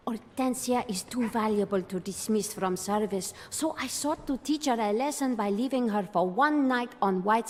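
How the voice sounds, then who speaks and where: An actress playing a haughty, calculating brothel madam in a fantasy video game, in a theatrical manner. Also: female voice, medium pitch, lightly acted. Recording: long hall reverb.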